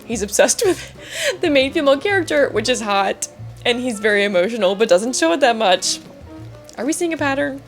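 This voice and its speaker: A woman's voice: a little high, sing-song, normal speed, faint music behind. A woman chatting casually to camera as a book reviewer, with an emphatic, gushing, giggly manner. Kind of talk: casual chat to camera about books.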